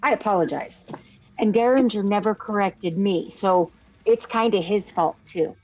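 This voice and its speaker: A woman's voice: mid-range voice, moderate pace, darkish tone. A woman co-hosting a podcast, chatting playfully, reading out chat questions and laughing at herself. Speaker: podcast co-host. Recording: muffled lo-fi stream audio with faint music.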